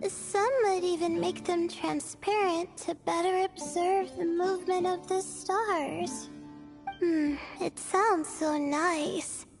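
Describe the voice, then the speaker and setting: A shy young woman in a video game who studies the stars, with a high, gentle voice. She speaks slowly and hesitantly, with soft apologetic pauses. Faint game music runs behind her. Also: full character voice. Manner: hesitant, soft, trailing off with uhs.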